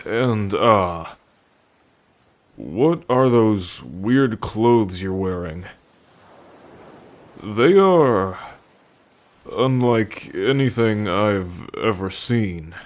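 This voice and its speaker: A man acts out a wary merman in an intimate ASMR roleplay. His voice sits on the low side and he speaks very slowly. He is curious and hesitant, questioning the human he addresses. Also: expressive. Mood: puzzled, suspicious. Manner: slow, hesitant, questioning delivery. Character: wary, curious young merman, gruff rescuer.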